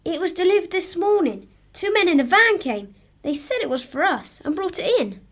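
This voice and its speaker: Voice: very high-pitched, big pitch swings, normal speed, fairly bright tone, heavily acted. A performer playing an eager, hesitant schoolboy son in a radio drama. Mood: eager, defensive.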